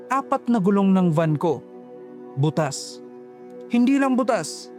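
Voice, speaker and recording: A man's voice: high voice, a little mellow. A young man narrating a first-person horror story in a tense, suspenseful way.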